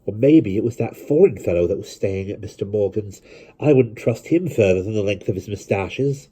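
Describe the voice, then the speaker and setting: A man voicing a chatty village woman, gossiping in a hushed way. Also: lowish voice, expressive, moderate pace, breathy edge, voice pushed up.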